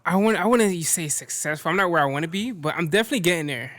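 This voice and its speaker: A young man from Central Florida talking as a guest in a casual chat, relaxed and slangy, laughing easily. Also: a little high, fast talker, no echo, studio quality. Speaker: guest content creator.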